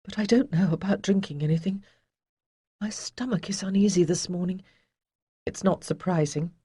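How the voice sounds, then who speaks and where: A narrator voicing a gentle, seasick noble lady who speaks softly and politely. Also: medium pitch, normal speed, breathy edge, no room sound, studio quality, close to an everyday voice. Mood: uneasy.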